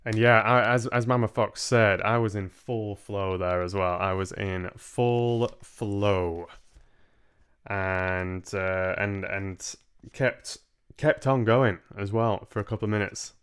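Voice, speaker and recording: A young man hosts a reading stream in a casual, conversational way. His voice sits fairly low. He takes his time, speaking very slowly from a room at home. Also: steady tone, a bit bright, smooth. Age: 20s. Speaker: live-stream book reader and host. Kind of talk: casual livestream chat and reading aloud. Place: small home room, indoors.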